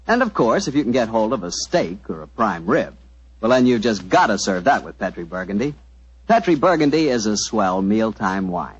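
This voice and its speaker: A man's voice: on the low side, sing-song, moderate pace, breathy edge, dry recording. An American man announcing on 1940s radio, with a chatty, folksy sales patter. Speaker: radio announcer and sponsor pitchman. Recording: dull lo-fi 1940s radio recording.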